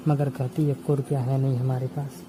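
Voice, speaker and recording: This is a young man, speaking informally over the phone. His delivery is even and insistent, circling back over his phrases. Clear noise runs through the call recording. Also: medium pitch, normal speed. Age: 20s. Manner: insistent, repetitive.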